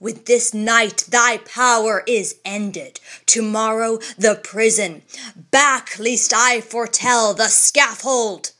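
A man's voice: sits high, pushed and bright, exaggerated voice. A woman voicing a stern old Puritan champion who speaks with solemn, commanding composure in an audiobook reading. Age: older. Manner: solemn, commanding, slow and deliberate. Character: stern ancient gray male Puritan champion.

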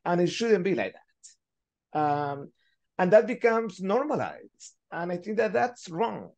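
A middle-aged man from Chile, speaking as a panelist on a video call through a headset, thoughtful and halting as he searches for words. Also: high-pitched, sing-song, gravelly, a little mellow. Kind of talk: reflective panel discussion.

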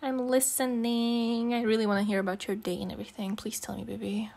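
A woman's voice: a little high, little pitch movement, fairly quick, creaky, darkish tone. A young non-native English-speaking woman in a casual, intimate girlfriend ASMR roleplay, talking in a slangy, teasing, coaxing way with giggles. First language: not English. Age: young adult.

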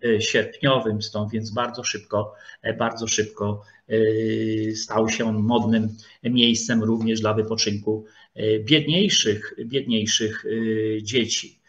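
Hesitant male lecturer, dull lo-fi audio. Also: lowish voice. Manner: hesitant, halting, repeats words. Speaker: historian giving a lecture. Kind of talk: informal lecture with slides. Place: online video call presentation with screen share. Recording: dull, lo-fi online call audio.

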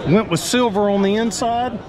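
A man's voice: high voice, moderate pace. A man giving a casual walkthrough commentary as a boat show vlogger, listing features in short admiring phrases. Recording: busy crowded expo hall noise. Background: crowd chatter at a boat expo.

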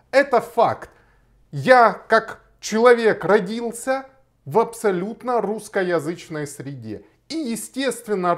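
Emphatic, animated man in his thirties. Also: high-pitched. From Kharkiv, Ukraine. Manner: emphatic, argumentative, gestures while talking. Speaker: lawyer and blogger. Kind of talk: direct-to-camera video reply. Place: small indoor room, plain wall.

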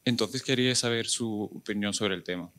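A man's voice: on the low side, fairly quick, a bit bright. A non-native speaker, a man with a foreign accent, politely and hesitantly putting a question from the audience. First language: not Spanish. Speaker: audience member asking a question. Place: auditorium.

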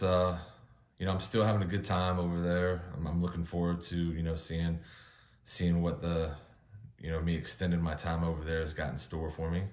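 A man in his thirties from the United States, chatting casually to camera at home, relaxed and rambling with many restarts. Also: deep voice, roomy sound. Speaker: vlogger. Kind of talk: casual vlog monologue. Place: home living room with kitchen behind, indoors.

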